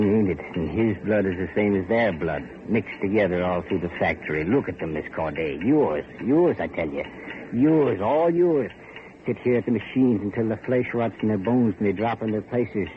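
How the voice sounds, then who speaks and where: An actor playing a grim, bitter factory overseer on an island in a vintage radio horror drama, speaking in a dour, ominous, rustic way. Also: male voice, on the low side, normal speed, close to an everyday voice. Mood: scornful, taunting. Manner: dour, ominous, archaic rustic speech with "aye" and "ain't". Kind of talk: 1930s-40s radio horror drama acting. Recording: muffled, noisy old radio recording.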